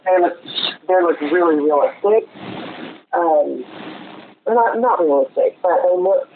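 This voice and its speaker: A man's voice: high voice, animated, measured pace. A man chatting casually as a podcast co-host in a rambling, chatty way, with some casual swearing. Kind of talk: casual chat. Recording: tinny phone-like line with clear noise.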